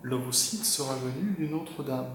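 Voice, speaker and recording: A man speaks at a middle pitch in a measured, articulate way, his sentences complete and calm. His words carry a reassuring steadiness. The room around him is roomy and echoey. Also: a little hiss or hum. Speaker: patient's husband. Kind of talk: interview testimony.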